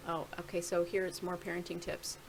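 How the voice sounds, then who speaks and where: A woman's voice: lowish voice, monotone, fairly quick, slight background noise, low-quality recording. A middle-aged woman casually talking through a screen-share video blog, rambling and thinking aloud with many restarts. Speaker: video blogger.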